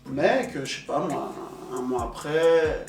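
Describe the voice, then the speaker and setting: A man's voice: on the high side, gravelly. Distant, echoey young man, hesitant. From Belgium. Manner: hesitant, many pauses and fillers. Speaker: panel guest. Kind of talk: casual conversation. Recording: echoey room, distant mic, soft music.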